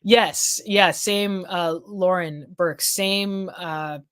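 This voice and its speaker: A woman hosting a casual legal news livestream, rambling with restarts and fillers as she reads viewer comments, her voice going hoarse. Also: lowish voice, steady tone, extremely slow, fairly bright tone. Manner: rambling, many restarts and fillers, thinking aloud. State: losing her voice.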